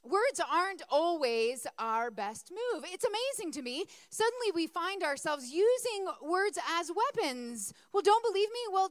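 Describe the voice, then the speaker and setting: A woman preaching with a hoarse, worn voice that sits high and swings widely in pitch. She talks to her congregation in a chatty way and asks them to respond. The sound is close and dry. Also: dry recording. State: losing her voice. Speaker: pastor. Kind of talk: sermon.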